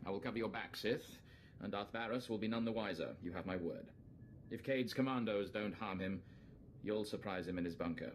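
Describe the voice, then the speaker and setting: An actor playing a composed, scheming military officer in a video game, formal and measured. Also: male voice, on the low side, a little hiss or hum, lightly acted. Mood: conspiratorial, assured. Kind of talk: scripted video game acting.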